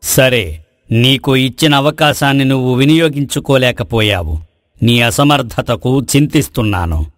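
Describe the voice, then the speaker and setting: A magical forest tree played by a man speaks in a mid-pitched male voice. It is calm and tempting, offering bargains in slow, formal phrases. The recording is close and dry, in moral-story style. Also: dry recording, natural voice. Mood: stern regret. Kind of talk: Telugu moral story voice acting.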